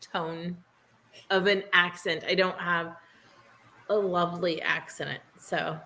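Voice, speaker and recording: A woman's voice: fairly low pitch, little pitch movement, takes it slowly, sharp tone, slight background noise. A woman in her thirties with a Midwestern American accent, chatting casually on a livestream, rambling and self-deprecating and often trailing off mid-sentence. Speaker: livestream co-host.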